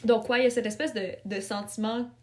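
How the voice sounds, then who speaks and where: A young adult woman with a Québécois French accent, a writer chatting as a podcast guest, talking casually with frequent fillers and quick laughs. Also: a little high, steady tone.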